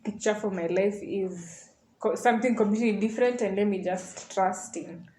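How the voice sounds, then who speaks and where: A woman's voice: mid-range voice, normal speed, a little mellow, a little hiss or hum. A woman of about twenty with a Kenyan accent, talking casually as a podcast panelist. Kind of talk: casual group chat. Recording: echoey room.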